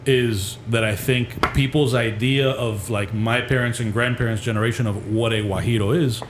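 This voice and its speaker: A man co-hosting a casual podcast chat, explaining things in an unhurried way with hedging asides. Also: mid-range voice, steady tone. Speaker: podcast co-host. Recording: echoey room with clear background noise.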